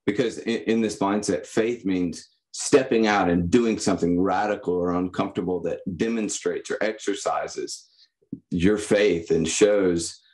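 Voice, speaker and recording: A young man giving reflective, personal answers as a podcast guest, in a halting, thoughtful manner. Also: deep voice, a little mellow, rough recording. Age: young adult. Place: indoor room, on a video call. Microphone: condenser microphone.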